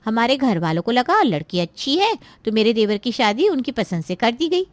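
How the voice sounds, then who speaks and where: A woman's voice: on the high side, very animated, normal speed, no echo. A woman playing a scheming, spiteful married daughter in a cartoon moral story, with a nagging, insinuating and complaining manner. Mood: gossipy, warning.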